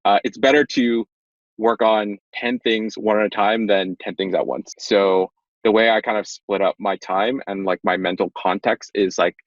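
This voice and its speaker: A young man in his twenties with a low voice talks through his work as a podcast guest, loose and rambling and full of fillers. The sound is dry and a little dull, like a modest home setup. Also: normal speed, no echo. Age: 20s. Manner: relaxed, rambling explainer with many fillers. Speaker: guest, startup tech executive. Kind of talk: casual interview chat.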